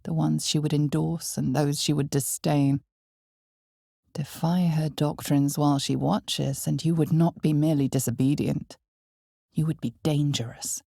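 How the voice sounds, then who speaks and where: Casting: female dark fantasy game narrator, ominous and knowing, husky low register, slow deliberate pacing. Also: dry recording, clean studio sound, exaggerated voice. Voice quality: husky, gravelly, breathy. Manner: measured, deliberate, hushed theatrical delivery. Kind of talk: dramatic scripted second-person fantasy game narration.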